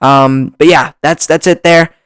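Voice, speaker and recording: A man hosting a casual vlog, talking excitedly with lots of restarts, his voice slightly hoarse from long recording, close on a desk mic. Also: on the high side, a little slow, dry recording. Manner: excitable, rambling with many restarts. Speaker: YouTuber. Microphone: desk mic, bumped at times.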